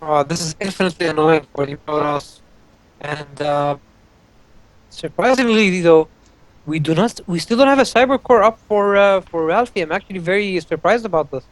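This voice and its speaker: A man co-casting a live strategy game, giving measured play-by-play with frequent fillers. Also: sits high, no echo, rough recording. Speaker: esports co-caster.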